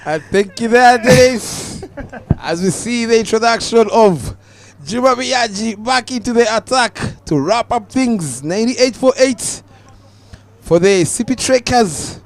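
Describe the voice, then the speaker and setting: A young Ugandan man giving live cricket commentary, calm and measured, lifting his voice on the big moments. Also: very high voice, no echo.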